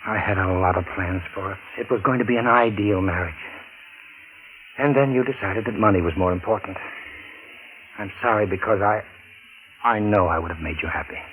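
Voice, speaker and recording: An actor playing a lovesick, jilted suitor, earnest, desperate and easily led, with intense pleading in a 1940s radio drama manner. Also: male voice, on the low side, normal speed, long pauses, narrow, tinny audio, slight background noise, natural voice. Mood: wounded, wistful.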